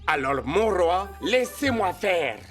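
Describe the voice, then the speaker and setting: Slow-talking, sly cartoon cat. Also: male voice, sits high, big pitch swings, music in the background, heavily acted. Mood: confident, reassuring. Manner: smooth-talking, courtly, self-assured. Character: sly, confident male cat, loyal servant to a king. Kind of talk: French cartoon dub acting.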